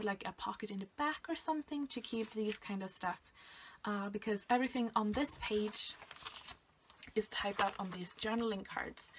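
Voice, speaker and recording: A woman's voice: medium pitch, fairly bright tone. A young woman from Sweden with a Swedish accent, chatting casually and hesitantly through a craft album, with many fillers. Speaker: craft vlogger. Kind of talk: casual show-and-tell.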